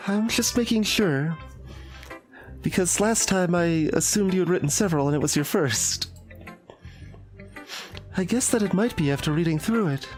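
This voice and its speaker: A man voicing a shy, soft-spoken bookish teenage girl from a visual novel, hesitant and apologetic. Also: high voice, moderate pace, pauses often, faint music behind, natural voice, rough recording.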